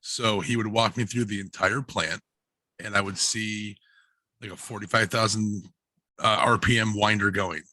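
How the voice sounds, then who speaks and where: A man telling personal stories casually in a group video chat, informal and animated, speaking into a headset. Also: on the low side, normal speed, dry recording, low-quality recording. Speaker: guest, barber and livestreamer. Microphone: headset on a video call.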